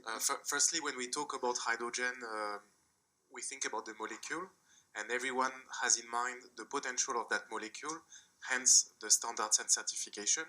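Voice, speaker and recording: A man from France with a French accent, answering a question as a panelist at a conference in a measured, explanatory way. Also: mid-range voice, fairly even intonation, bright, forceful tone, poor audio. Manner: measured, explanatory, with small restarts. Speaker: panelist, hydrogen developer.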